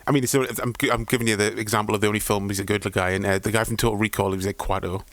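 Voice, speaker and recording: Fast-talking low-voiced man. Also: flat delivery, a bit bright, no room sound. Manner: rambling, swears freely, trails off searching for names. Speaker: podcast co-host. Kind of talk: casual film chat.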